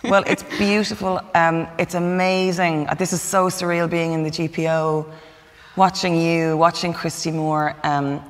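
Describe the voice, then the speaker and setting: A woman's voice: lowish voice, flat delivery, measured pace. A young Irish woman interviewing in a casual, conversational style. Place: large dim indoor hall. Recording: reverberant large hall.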